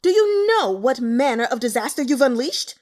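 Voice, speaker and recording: A woman's voice: sits high, sing-song, moderate pace, darkish tone, voice pushed up. A woman voicing a haughty, irascible young nobleman who bellows imperious orders in an audiobook. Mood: furious. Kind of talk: audiobook character acting.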